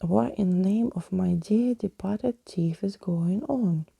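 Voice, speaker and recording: Lady doing a cross blurting kid girl from a storybook, scornful, breathy and dark. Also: medium pitch, slow pace, dry recording, full character voice. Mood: indignant. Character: cross, scolding young girl, Daisy. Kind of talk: acted storybook reading.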